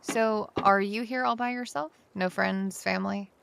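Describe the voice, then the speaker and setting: Amazed young woman, slightly creaky voice. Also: medium pitch, steady tone, unhurried, noisy background. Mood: hesitant, friendly. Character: amazed, curious young woman visitor to a sci-fi city. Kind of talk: full-cast audio drama acting.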